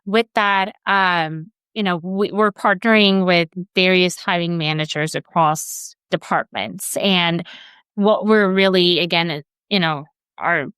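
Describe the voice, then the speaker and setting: A woman's voice: on the low side, steady tone, slow pace, a bit bright, clear, resonant tone, dry recording, studio quality. A woman from Sweden who grew up there and now works in HR, answering interview questions in a hesitant way with many fillers and restarts. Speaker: HR guest.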